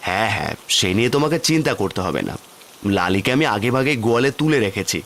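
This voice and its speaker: Cartoon dad role, male actor: medium-pitched village milkman, gentle, hardworking, reassuring. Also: animated, quick pace, a little hiss or hum. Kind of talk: cartoon fairy tale dialogue.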